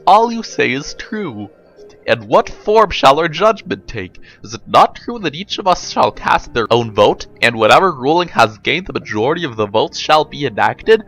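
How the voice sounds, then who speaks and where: Man as a pompous arbiter, animated and formal. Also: on the high side, rough recording. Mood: solemn. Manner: stately, pompous, rhetorical. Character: pompous, formal male arbiter with philosophical airs.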